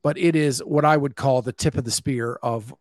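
Brief: male podcast host, mid-pitched, dry close-miked sound, casual chat. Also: fairly even intonation, normal speed, no room sound. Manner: reads listener comments aloud and expands on them.

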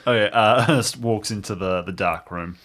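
Lowish-voiced man in casual role-play chat. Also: moderate pace, fairly bright tone. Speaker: player in an improvised audio drama.

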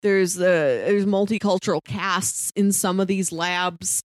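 A woman's voice: lowish voice, steady tone, unhurried, dry recording. Casual woman podcaster with a dry, close sound. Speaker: podcast co-host. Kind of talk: casual movie discussion.

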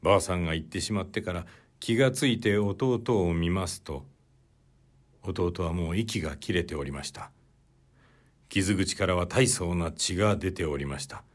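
A male narrator plays a humble, troubled man confessing in a period story. His voice is on the low side, and he recounts things in polite, measured phrases. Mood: grave, humble.

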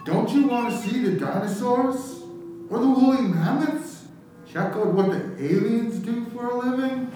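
A man playing a campy evil-genius inventor, making a theatrical, drawn-out sales pitch in an improvised radio comedy sketch. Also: expressive, background noise. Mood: grandiose, scheming. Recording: very echoey room, distant mic, music behind.

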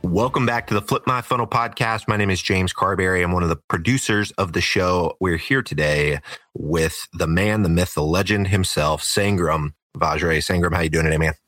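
A man who co-hosts and produces a podcast, speaking in a smooth, polished radio announcer style. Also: deep voice, normal speed, a bit bright, no echo, clean studio sound, faint music behind. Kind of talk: podcast interview.

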